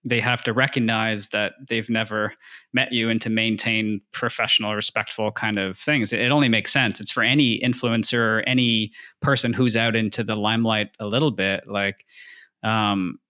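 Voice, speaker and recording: A man's voice: on the low side, steady tone, moderate pace, pushed and bright. A man hosting a podcast interview, asking long, rambling questions that restate themselves. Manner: rambling, piles up rephrased questions. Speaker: podcast host. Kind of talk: casual interview chat.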